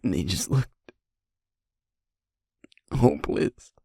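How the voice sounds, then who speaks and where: Male actor as young werewolf boyfriend, audio roleplay, very breathy and husky, slow halting delivery, guilt-ridden survivor. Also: mid-range voice, big pitch swings, warm tone, dry recording, clean studio sound, exaggerated voice. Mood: grieving, hopeless. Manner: halting, emotionally raw, broken-up phrases. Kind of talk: intimate audio roleplay monologue.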